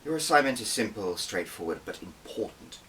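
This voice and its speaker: A man's voice: on the low side, big pitch swings, fairly quick, a bit bright, recorded from a distance, slight background noise. A man voicing a stern, weary older woman who runs an intelligence office, speaking in a dry, dismissive, commanding way for an audiobook. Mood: stern warning. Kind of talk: audiobook character dialogue.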